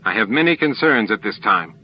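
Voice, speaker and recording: A man's voice: lowish voice, animated, fairly quick, close to an everyday voice. A man voicing a stern, scowling male alien grandmaster in an audiobook, curt and commanding. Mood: irritated. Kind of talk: audiobook character acting. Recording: dull lo-fi tape with music.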